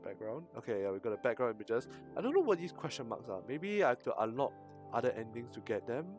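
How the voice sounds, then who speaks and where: Male game streamer, casual livestream chat, mid-pitched, hesitant mumbled asides, game music behind him. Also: very animated, quick pace. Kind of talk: casual livestream chat over a visual novel.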